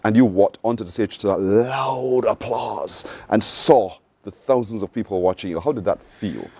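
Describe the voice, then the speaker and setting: A man's voice: fairly low pitch, normal speed, a little mellow, husky, no room sound. A man with a Barbadian accent hosting a morning TV show, upbeat and encouraging, often repeating his guest's answers back. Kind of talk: TV interview.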